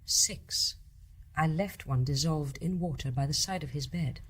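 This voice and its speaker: Stern british nurse in a radio play, lowish husky voice, formal n precise, wont back down. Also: female voice, unhurried. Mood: grave, precise. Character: accusing, dutiful, resolute private nurse.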